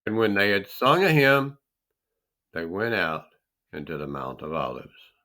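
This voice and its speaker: A man narrating scripture in archaic English with a solemn, theatrical, deliberate delivery. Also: low-pitched, big pitch swings, pushed and bright. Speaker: scripture reader.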